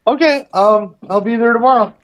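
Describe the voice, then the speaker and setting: A man's voice: extremely high pitch, brisk, lo-fi sound. A man co-hosting a casual podcast chat, dropping dry, jokey asides. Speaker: podcast co-host.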